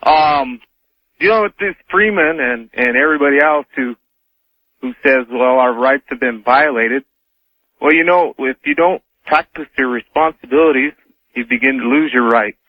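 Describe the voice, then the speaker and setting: A man's voice: medium pitch, moderate pace, no room sound. An American man phoning in to a radio show, giving his opinion in casual, everyday speech. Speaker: first-time phone caller to a radio show. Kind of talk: casual opinionated phone call. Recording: tinny narrow phone line, dry.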